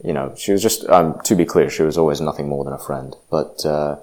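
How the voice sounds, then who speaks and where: A man's voice: very deep voice, a little fast, darkish tone. A man giving a reflective personal testimony, hesitant and full of fillers and restarts. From grew up partly in Indonesia.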